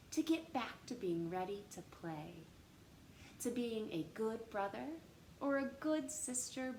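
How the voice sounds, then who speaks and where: A woman reading aloud expressively for young children as a storytime host, animated and addressing them directly with questions. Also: mid-range voice. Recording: roomy echo with faint background noise.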